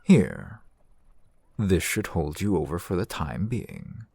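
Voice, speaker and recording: A man acts out a shrewd, courteous male shopkeeper in a fantasy ASMR roleplay. His voice is low and husky, moving through formal, polite phrases with lively rises and falls. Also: dry recording, clean studio sound, heavily acted. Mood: friendly, courteous. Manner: formal, wordy, measured, polite. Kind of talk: ASMR roleplay, scripted character acting.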